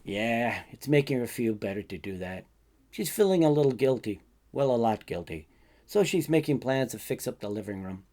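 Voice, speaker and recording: A man's voice: medium pitch, lively intonation, moderate pace, mellow, natural voice. A man voicing a laid-back, drowsy, wry friend in a short story, talking in an offhand, hesitant way. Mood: wry, resigned.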